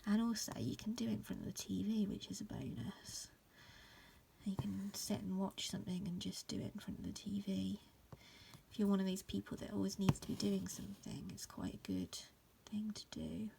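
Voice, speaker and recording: A woman in her thirties with a croaky voice from hay fever, casually talking through an embroidery tutorial at home, full of ums and restarts. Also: mid-range voice, normal speed, in a whisper. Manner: relaxed, many fillers and restarts. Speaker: embroidery teacher. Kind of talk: casual stitch-along tutorial. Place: upstairs room at home.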